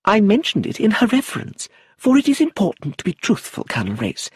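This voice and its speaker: A prim, chattering old aunt rambles on in a high, fluttery voice that swoops all over, as a man reading the story puts her on. The tone is husky and breathy, and the sound is close and dry. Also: huge pitch swings, a little fast, dry recording, full character voice. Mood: prim gossip. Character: fussy, chattering older aunt, prim and gossipy.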